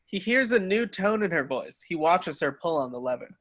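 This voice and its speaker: A man narrates stage directions for an amateur script reading. His voice is fairly high and sharp, reading scene headings and action plainly. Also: big pitch swings, moderate pace.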